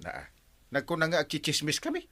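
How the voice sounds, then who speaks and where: An actor playing a flustered, evasive village captain who stammers, in an Ilocano radio comedy drama. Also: male voice, a little high, huge pitch swings, brisk, pushed and bright, full character voice. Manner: stammering, evasive, repeating interjections. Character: flustered, gossip-loving comic male village captain.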